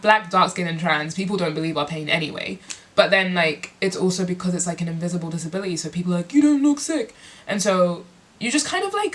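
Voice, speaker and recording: A young woman speaking candidly in a personal vlog, congested and sniffly, reflective and filling pauses with lots of "like". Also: medium pitch, a bit bright. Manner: reflective, heavy use of "like" fillers. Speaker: musician and performer.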